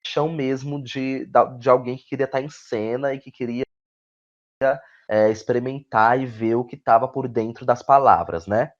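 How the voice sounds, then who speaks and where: A man with a Brazilian accent answering in a conversational interview, reflective and halting, often adding little tag questions. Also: medium pitch, fairly even intonation, lo-fi sound. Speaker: guest playwright and actor. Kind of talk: conversational interview answers about own work.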